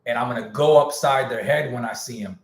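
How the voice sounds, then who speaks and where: A man's voice: medium pitch, a little fast. Man ranting on a live stream, echoey room. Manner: candid, slangy, repeats himself. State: losing his voice. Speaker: music producer live streaming. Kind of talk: casual livestream rant.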